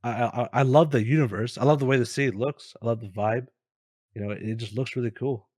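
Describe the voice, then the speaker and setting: A man's voice: medium pitch, fairly even intonation, fast, darkish tone, no echo, clean studio sound. A man co-hosting a gaming news show, chatting casually in an earnest, informal, appreciative way. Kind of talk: casual chat.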